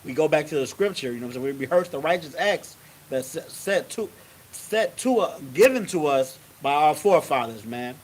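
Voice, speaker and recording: A man's voice: a little high, animated, normal speed, poor audio. A man leading a Sabbath service in a loose, chatty way, constantly saying "you know what I'm saying" and "man". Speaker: religious teacher leading a service. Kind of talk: casual sermon. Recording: faint hiss.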